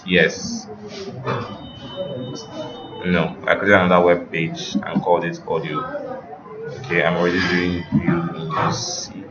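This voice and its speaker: A man giving an informal, step-by-step software tutorial as an instructor, repeating phrases as he explains. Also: low voice, level tone, a little slow, long pauses. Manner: repetitive step-by-step explaining, checking understanding. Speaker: web development instructor. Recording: roomy, dull audio with music behind.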